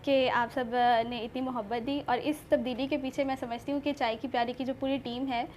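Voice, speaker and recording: High-pitched Pakistani woman hosting a talk show. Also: fairly even intonation, fairly quick, darkish tone, slight background noise. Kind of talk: polite formal presenting.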